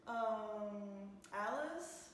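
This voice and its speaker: A woman's voice: medium pitch, animated, very slow. A woman co-leading an idol group, answering fan questions in a playful, casual chat and laughing easily. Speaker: co-leader and producer of an idol group. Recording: echoey room, distant mic, faint noise.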